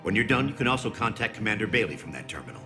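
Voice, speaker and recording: Brisk security assistant, quick talker. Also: male voice, fairly low pitch, very animated, creaky. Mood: dutiful. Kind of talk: video game dialogue acting. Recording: loud music behind voice.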